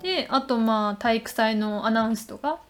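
High-voiced young woman chatting casually. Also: normal speed. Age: young adult. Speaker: podcast co-host. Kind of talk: casual chat.

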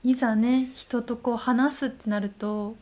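A young adult woman co-hosting a podcast, telling stories in a chatty, animated way in casual conversation. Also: a little high, a little slow. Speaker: podcast co-host. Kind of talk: casual chat.

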